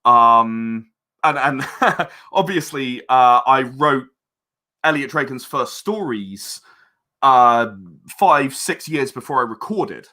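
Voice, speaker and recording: Bright-voiced man, modest interview guest. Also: medium pitch, expressive, takes it slowly. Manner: self-deprecating, hesitant and reflective. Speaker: interview guest, audio drama writer and director. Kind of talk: conversational interview.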